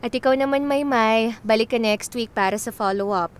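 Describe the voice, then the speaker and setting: An actress playing a kind, modest small-town pediatrician and former teen star in a Filipino radio drama. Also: female voice, on the high side, moderate pace, clean tone, lightly acted. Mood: caring, advising. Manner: caring, gently advising, playfully exclaiming. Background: background music.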